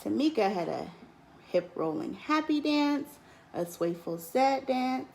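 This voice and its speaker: A woman teacher reads a children's picture book aloud, lifting her voice on key words in a lilting, expressive way. There is a slight gravelly fry in her voice. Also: high-pitched, measured pace, slight background noise. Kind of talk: storybook read-aloud.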